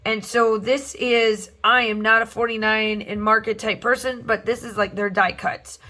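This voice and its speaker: Woman host for a craft vlog voiceover; chatty, rambling, slightly hoarse, bright tone. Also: fairly high pitch, little pitch movement, normal speed. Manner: rambling, chatty, many fillers and restarts. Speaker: scrapbooking channel host. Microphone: voice-over recorded separately from the video.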